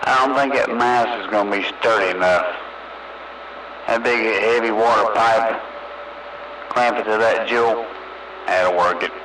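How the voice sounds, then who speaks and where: A man's voice: on the low side, measured pace, long pauses. A man chatting casually and in a folksy way over CB radio. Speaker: CB radio operator. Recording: noisy CB radio transmission.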